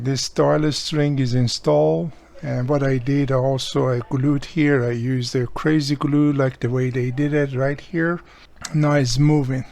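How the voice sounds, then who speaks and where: A man's voice: medium pitch, vocal fry, darkish tone. A man with a non-native English accent giving a casual hands-on repair tutorial, explaining each step plainly as he works. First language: not English. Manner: plain-spoken, explains step by step while working. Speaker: radio repairer demonstrating a restoration.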